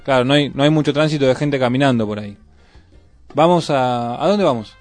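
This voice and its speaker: A man's voice: mid-range voice, brisk, darkish tone. A man with a Buenos Aires Argentine accent giving casual local news commentary as a radio show commentator. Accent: Buenos Aires Argentine Spanish. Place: radio studio. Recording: music playing behind the voice. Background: music.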